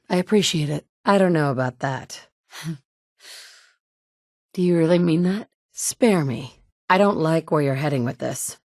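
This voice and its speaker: A hardened wasteland survivor woman speaks in short, firm lines with a lowish voice. The sound is close and dry, with no room around it. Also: darkish tone, no room sound. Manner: terse and firm, often curt. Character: tough adult woman wasteland survivor, blunt leader. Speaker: player character. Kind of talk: video game dialogue lines. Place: studio booth.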